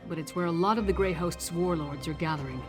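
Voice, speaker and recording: Studious, earnest male quest companion acted by a man, somewhat high-pitched, over clear game music. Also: quick pace, natural voice. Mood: concerned, focused. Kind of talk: video game quest dialogue.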